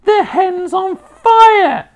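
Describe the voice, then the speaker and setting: A storyteller voicing a bossy, gloating old grandmother from a children's storybook, yelling shrilly with drawn-out words. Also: very high-pitched, expressive, voice pushed up, heavily acted. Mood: alarmed yelling. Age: older.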